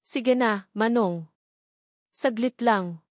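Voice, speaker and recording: A woman's voice: a little high, drawn-out words, no room sound, studio quality. A woman storyteller voicing a heartbroken, pleading young man in a romance story. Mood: shaken, insistent. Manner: pleading, insistent.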